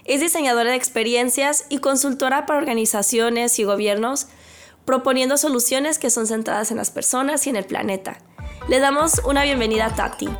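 A woman's voice: high voice, a little fast, echoey room, faint music behind. A woman hosting a live talk, friendly and welcoming toward her guest. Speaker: interview host.

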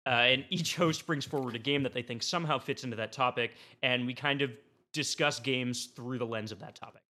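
A man's voice: mid-range voice, a little fast, bright, forceful tone. A man talking casually as a guest on a podcast.

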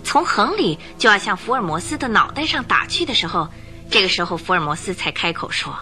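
A woman's voice: medium pitch, very animated, a little fast, pushed and bright, faint music behind. A woman narrator telling a radio drama story from a script in a vivid, suspenseful, dramatic way.

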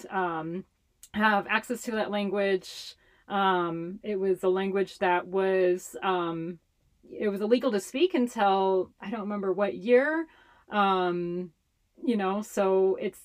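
Young Hawaiian-accented woman, Pidgin first language, chatty interview guest who laughs easily. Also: medium pitch. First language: Hawaiian Pidgin English. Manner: chatty, laughs often while talking. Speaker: public health communicator, guest. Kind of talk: casual interview talk.

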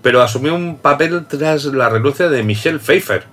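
A man's voice: on the high side, normal speed, a bit bright. A man hosting a casual film chat, talking loosely, laughing often and restarting sentences. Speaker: host. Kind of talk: casual chat about films.

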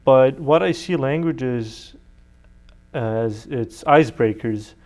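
A man's voice: mid-range voice, slow, darkish tone. A young man from Serbia, a native Serbian speaker, answering interview questions conversationally as a college student guest. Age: young adult.

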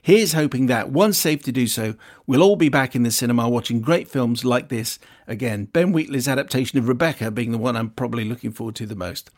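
A man's voice: mid-range voice, brisk, few pauses. A man hosting a radio film show, reading listener emails aloud in a chatty way with wry asides.

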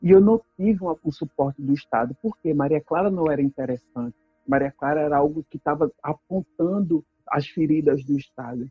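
Husky-voiced woman. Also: low voice, fairly quick, no echo, phone-quality sound, faint music behind. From Brazil. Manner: earnest, emphatic storytelling, tag questions. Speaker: activist speaker. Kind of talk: personal testimony talk.

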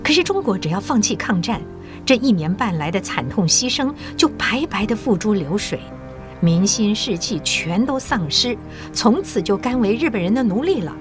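An actress playing a mature, patriotic school principal in an audio drama, encouraging and persuasive with measured authority. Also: female voice, medium pitch, sing-song, over music, close to an everyday voice. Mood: earnest indignation.

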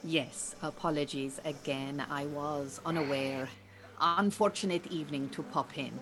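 An actress playing an earnest, proud Iranian refugee woman who mentors beekeepers in an audio drama, speaking in a measured, formal, precise way. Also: low-pitched, steady tone, background noise. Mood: polite, guarded. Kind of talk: naturalistic audio drama acting.

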